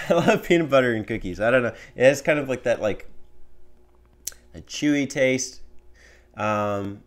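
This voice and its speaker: A man's voice: medium pitch, unhurried, long pauses. A young man hosting a show, answering viewer voicemail in a casual, rambling way with lots of fillers, recorded at home on a studio mic. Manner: animated, rambling with fillers, gesturing. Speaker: host. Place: small home room with bookshelf and window. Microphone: studio mic on stand in front.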